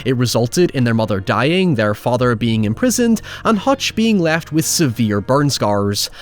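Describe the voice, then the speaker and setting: A man's voice: medium pitch, very animated, a little slow, faint music behind. A man narrating a scripted, opinionated video essay as its host, with wry, sardonic asides and casual swearing. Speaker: host of a film retrospective show.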